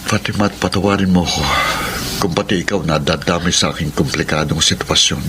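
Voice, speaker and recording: A man's voice: sits low, brisk, noisy background, lightly acted. A man with a Filipino accent playing an anguished young man torn between two women, earnest and pleading in a melodramatic radio drama. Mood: remorseful.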